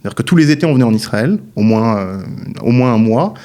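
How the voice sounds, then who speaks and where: A man in his thirties from France, a guest answering interview questions calmly and matter-of-factly. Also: medium pitch, quick pace. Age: 30s.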